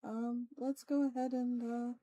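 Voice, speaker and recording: A woman hosting a livestream, chatting casually with her viewers in a playful way, with chatty asides and drawn-out emphasis. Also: on the high side, little pitch movement. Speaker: VTuber streamer host. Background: soft ambient music.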